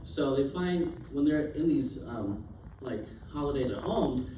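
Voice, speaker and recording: A man's voice: medium pitch, expressive, a little slow, dark tone. Young man, student presenter, chatty and loose, lots of ums, distant mic, noisy room. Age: young adult. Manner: chatty, filler-heavy, laughs easily. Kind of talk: casual class presentation. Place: classroom. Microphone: distant recording device. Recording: roomy, distant, noisy classroom.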